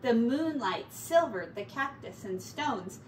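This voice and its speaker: Slow, animated woman reading a storybook. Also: fairly high pitch. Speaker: teacher reading aloud to children. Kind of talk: expressive picture-book narration. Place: small indoor bedroom. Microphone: distant camera microphone. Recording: roomy, distant mic, faint noise.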